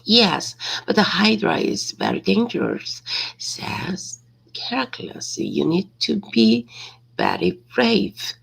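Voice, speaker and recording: A woman reading a simple story aloud as practice, hesitantly, repeating and correcting words, with a raspy, creaky voice. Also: medium pitch, very animated, takes it slowly, a bit bright, rough recording.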